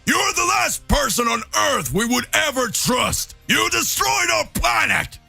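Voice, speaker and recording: A man voicing a proud, hot-tempered warrior prince who shouts furious insults. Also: extremely high pitch, very animated, a little slow, vocal fry, faint music behind, voice pushed up, heavily acted.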